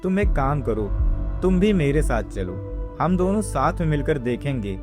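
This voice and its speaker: A man's voice: fairly high pitch, very animated, moderate pace, over music. A man voicing a bold, skeptical young villager with a confident manner in a cartoon story. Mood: confident, dismissive. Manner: confident, dismissive.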